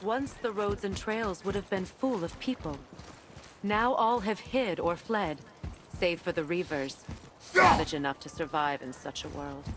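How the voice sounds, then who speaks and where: A woman playing a wise, solemn keeper of old magic in a video game, speaking in a measured, knowing, gently instructive way. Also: lowish voice, noisy background, natural voice. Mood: grave.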